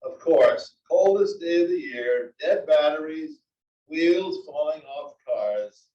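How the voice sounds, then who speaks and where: A man's voice: a little high, expressive, takes it slowly. A man playing a grumbling, exasperated old husband with wry complaints in a comedy table read. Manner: complaining, exclaiming. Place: library room, table read. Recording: dull, echoey library room, distant mic.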